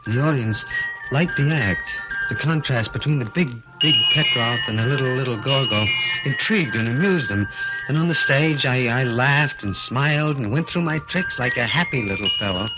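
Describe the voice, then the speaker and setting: An actor playing a bitter, anguished vaudeville little person in a 1940s radio drama, intense and halting. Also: male voice, mid-range voice, expressive, highs cut off, loud music behind the voice, close to an everyday voice. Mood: bitter, brooding.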